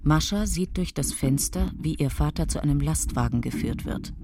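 A woman's voice: deep voice, moderate pace, creaky, background noise. A woman narrating the scenes of a radio play in a terse, matter-of-fact scripted style. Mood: sober. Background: music.

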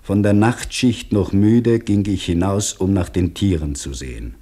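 An actor playing a weary dam labourer who gives terse, measured diary entries in a radio drama. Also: male voice, sits low, lively intonation, dark tone. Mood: sober, reflective. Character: sober, weary male dam labourer reading his diary.

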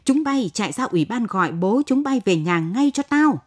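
A melodramatic village wife from a storybook played by a woman reader, loud and pleading. She laments theatrically in a raised voice, playing the devoted daughter-in-law. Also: a little high, lively intonation, moderate pace, voice pushed up. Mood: frantic.

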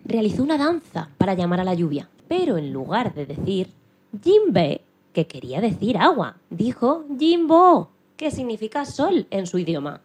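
A woman tells a children's tale in a husky, animated voice. Her delivery is playful and expressive, like radio storytelling. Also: medium pitch, normal speed. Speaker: storyteller, programme presenter. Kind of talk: dramatised children's story narration.

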